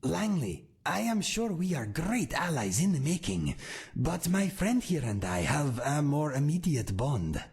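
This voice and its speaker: An actor voicing a loyal, gentle male cat-folk warrior who speaks in a calm, formal, earnest way. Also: fairly high pitch, measured pace, creaky, exaggerated voice.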